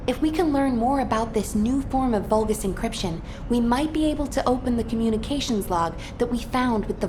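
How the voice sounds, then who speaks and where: A woman playing a composed, authoritative military commander giving formal, deliberate briefings in a video game. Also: on the high side, noisy background, lightly acted. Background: music.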